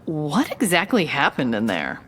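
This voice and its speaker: A woman playing an inquisitive reporter who narrates a mystery in a dramatic, deliberate way. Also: low voice, wildly swooping pitch, fairly bright tone, husky, heavily acted. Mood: probing. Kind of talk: scripted noir-like dramatic narration.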